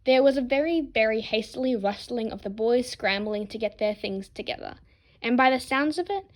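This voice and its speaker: A woman's voice: on the high side, normal speed. A woman narrating a scripted fan story expressively, with wry, dramatic emphasis as an amateur reader.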